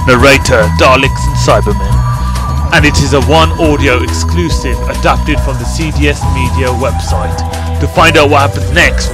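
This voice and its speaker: A man reading scripted narration for an amateur audio drama. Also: medium pitch. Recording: music behind the voice.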